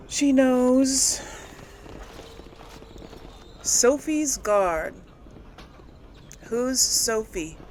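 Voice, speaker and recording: Woman, casual gaming commentary, very varied intonation, slow pace, rambling, thinking aloud, faint game ambience behind. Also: medium pitch, slight background noise. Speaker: host of a gaming role-play series. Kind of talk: casual let's play commentary, talking to the audience.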